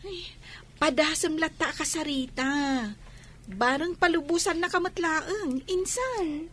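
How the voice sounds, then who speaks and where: A female actor as a persuasive small-town shopkeeper with a very high voice. She coaxes and pleads in the style of a village radio comedy. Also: measured pace, fairly bright tone, a little hiss or hum. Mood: regretful coaxing. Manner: coaxing, pleading. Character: persuasive, coaxing female cousin who runs a town boutique.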